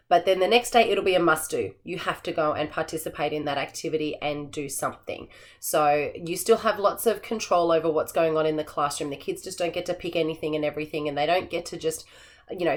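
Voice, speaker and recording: An Australian woman with a slightly low, croaky voice walks through classroom routines in a practical, chatty way. She sounds tired, with a hoarse edge left from a long day of talking. Her delivery is casual and full of small fillers. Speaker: assistant principal and teacher. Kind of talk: teaching tips video, casual explanation.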